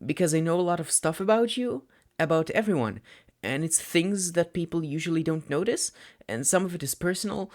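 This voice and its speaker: Guy doing a shy anxious teen boy for an audio story, voice pitched up, stammers and hesitates a lot. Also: expressive, brisk. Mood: timid, nervous. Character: anxious, self-doubting teenage boy student, timid and earnest.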